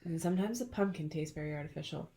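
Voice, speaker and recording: A woman's voice: sits low, fast talker, warm tone. A middle-aged woman hosting a casual chat, telling stories in a chatty, playful way and laughing often. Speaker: podcast host.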